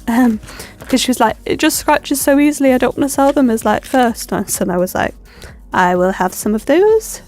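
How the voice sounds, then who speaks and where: Chatty woman with a cold. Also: fairly high pitch. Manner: rambling, self-deprecating, lots of ums. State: stuffy from a cold. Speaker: planner vlogger. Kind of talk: chatty plan-with-me narration.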